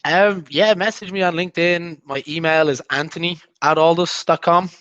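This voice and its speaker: A man with an Irish accent, a recruiter guest on a chat show, easygoing and relaxed. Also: a little high, moderate pace, no echo, highs cut off. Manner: easygoing, relaxed, hesitant fillers. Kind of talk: casual interview talk.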